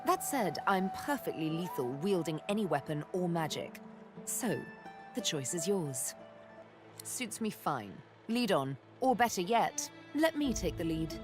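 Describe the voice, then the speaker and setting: A cool, menacing rogue from a fantasy video game. Her voice sits fairly low, and she speaks with poised, teasing confidence. Music is clearly audible behind her. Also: sing-song, a little slow. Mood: poised, confident.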